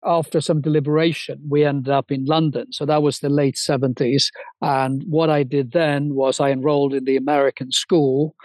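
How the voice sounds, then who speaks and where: A man in his sixties who grew up in Sweden, recalling his career as an interview guest. His voice sits fairly high for a man and the sound is close and dry. He talks fluently and eagerly, with a few small restarts. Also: a little mellow, no echo, low-quality recording. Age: 60s. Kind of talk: interview storytelling.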